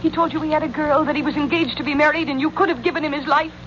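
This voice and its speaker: Woman acting icy vengeful femme fatale, high voice, 40s radio drama, crackly muffled recording. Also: steady tone, racing, lightly acted. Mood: bitter, vengeful. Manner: scornful, hard, dramatic. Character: cold, vengeful woman who double-crosses her lover. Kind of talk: 1940s American radio acting. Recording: dull, noisy old radio recording.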